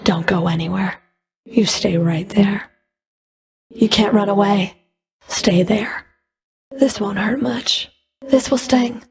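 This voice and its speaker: A cold female Fury, a whip-wielding enforcer of the underworld in a video game. Her delivery is slow and deliberate, with heavy vocal fry and a breathy edge. She speaks in curt, scornful commands and taunts. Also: medium pitch. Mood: quiet menace. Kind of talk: video game combat voice lines.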